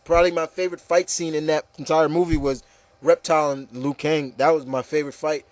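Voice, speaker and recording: A man's voice: a little high, steady tone, fairly quick, dry recording. A man hosting a casual panel chat about movies, talking in a rambling, enthusiastic way and often saying "you know". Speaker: host of an opinion show.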